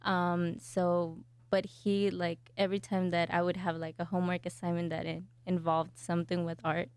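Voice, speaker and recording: A young woman from El Salvador, a graphic design student, answering interview questions in a soft, hesitant way with many fillers. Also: fairly low pitch, level tone, normal speed, smooth, no echo.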